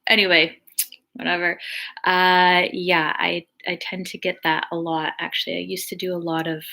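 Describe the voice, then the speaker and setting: A woman with a soft, relaxing voice, hosting a casual live chat, reading viewer comments and answering questions. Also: on the low side, flat delivery, normal speed, gravelly. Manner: chatty, reads comments aloud, frequent "um". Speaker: live stream host.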